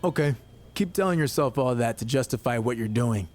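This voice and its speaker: A man's voice: medium pitch, animated, a little fast, darkish tone, faint music behind, natural voice. A man playing a defiant, sarcastic wrestling champion who needles others in a video game scene. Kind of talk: scripted game dialogue.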